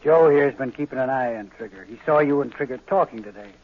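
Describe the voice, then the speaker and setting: A man's voice: mid-range voice, fast, close to an everyday voice. Man playing a mock-polite sinister gang killer. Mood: mock-friendly menace. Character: coolly menacing gang doctor, a sadistic killer. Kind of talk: 1940s radio drama acting. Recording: muffled, noisy vintage radio recording.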